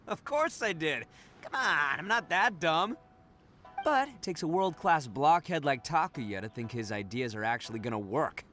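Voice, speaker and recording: An actor playing a brash, boisterous young gang boss who talks cocky and loud. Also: male voice, a little high, animated, normal speed. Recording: game audio with music. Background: music.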